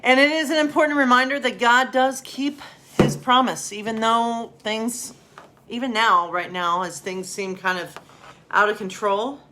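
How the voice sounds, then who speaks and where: A woman's voice: on the high side, steady tone, a little slow, bright, forceful tone, slight background noise. A woman pastor speaking informally and a bit ramblingly to her listeners as friends, chatting and reading children's bedtime stories. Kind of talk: casual chat and storybook reading for children.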